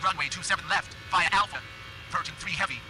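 A man voicing an airline pilot in a game, reading back calls in a quick, clipped way. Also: sits high, noisy background, lightly acted. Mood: brisk. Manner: quick, clipped radio readbacks. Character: airline pilot on the radio, brisk.

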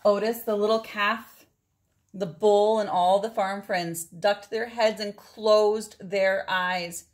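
A middle-aged woman with a mid-pitched voice reads a children's picture book aloud. Her delivery is expressive, and she stretches key words for drama. She is recorded indoors, a short distance from the mic. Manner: dramatic phrasing with drawn-out words for emphasis. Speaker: storybook reader.

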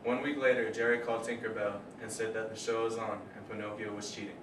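A man's voice: fairly low pitch, level tone, fast. A young man reads the opening of a puppet-show story in a plain scripted way. He sounds distant in an echoey room. Faint hiss runs underneath. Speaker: narrator of a school puppet show. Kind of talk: read-aloud storybook narration.

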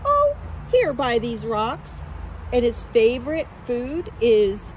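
A woman's voice: a little high, huge pitch swings, slow, a little mellow, slight background noise, exaggerated voice, low-quality recording. A woman voicing a clever little brown mouse in a storybook reading, cheeky and dramatic.

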